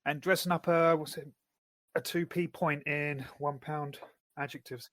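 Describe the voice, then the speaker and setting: A man's voice: on the high side, fairly even intonation, unhurried, fairly bright tone. Man co-hosting a podcast, searching for words. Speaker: podcast co-host. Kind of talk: casual chat about books and fiction.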